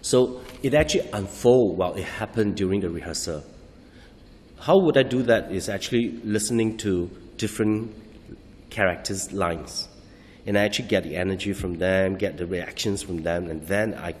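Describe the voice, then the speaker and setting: Male actor, low voice, hesitant restarts, long hall echo. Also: slight background noise. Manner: halting, searching for words, frequent restarts. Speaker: stage actor. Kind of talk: interview answers.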